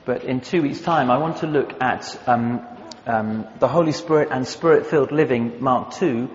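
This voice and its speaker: A pastor gives a sermon in a relaxed, conversational way, often stopping to find the next word. The sound is a little dull and lo-fi, with a long hall reverb around his voice. A faint background hiss stays under it. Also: medium pitch, moderate pace. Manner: conversational, thoughtful, searching for words.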